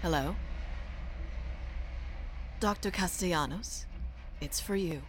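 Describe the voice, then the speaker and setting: Female scientist in audio drama: authoritative, dry, slightly low register, condescending, noisy setting. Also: slow. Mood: matter-of-fact.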